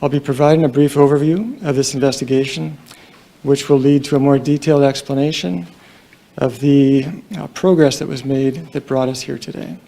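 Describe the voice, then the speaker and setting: A soft-spoken man with a low, mellow tone and a gravelly edge addresses a crowded press conference. He talks in a flat, halting way, pausing often and leaning on fillers. The room is large. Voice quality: quiet voice. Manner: halting, somber, many fillers. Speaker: police deputy chief.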